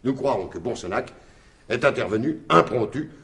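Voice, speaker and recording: A man's voice: mid-range voice, animated, normal speed, a bit bright, slightly rough, full character voice. An actor playing a shrewd, insistent detective in a French radio mystery in 1950s style, explaining his theory with emphatic deliberation. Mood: dramatic, urgent.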